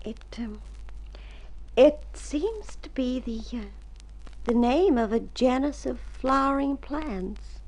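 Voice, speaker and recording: A woman's voice: high-pitched, lively intonation, takes it slowly, a little mellow, full character voice, low-quality recording. An actress playing a sweet, earnest young country woman in an old radio drama, ingenuous and fond. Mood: shy, tender. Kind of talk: golden-age radio drama acting.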